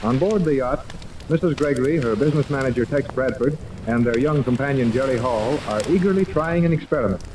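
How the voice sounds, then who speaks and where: A man's voice: medium pitch, fairly quick. A man giving dramatic scripted narration as the announcer of a 1930s radio serial. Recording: distant, noisy 1930s radio recording.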